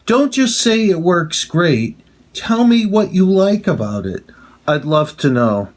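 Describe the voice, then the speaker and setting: A man giving a measured, plain-spoken casual review and demonstration as a hobbyist. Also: sits high, expressive.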